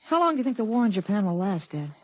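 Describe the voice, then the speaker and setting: A woman playing a polite, secretive thirteen-year-old boy in 1950s radio drama style, hesitant and guarded, with a slightly husky voice. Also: medium pitch, big pitch swings, rapid-fire, lo-fi sound. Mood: thoughtful, reserved.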